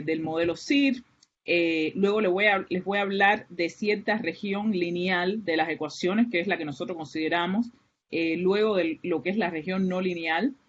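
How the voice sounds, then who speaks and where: A woman's voice: on the low side, normal speed. A woman from Cuba with a Cuban Spanish accent, giving an academic seminar with many fillers and restarts. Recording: muffled, lo-fi online lecture audio.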